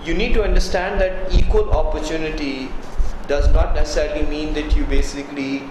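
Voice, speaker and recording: Guy talking quick in an interview, bit higher voice, echoey room w/ noise behind. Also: steady tone. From Pakistan. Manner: earnest, explanatory, often adds "you see". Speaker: university director of student affairs. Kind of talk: interview answers.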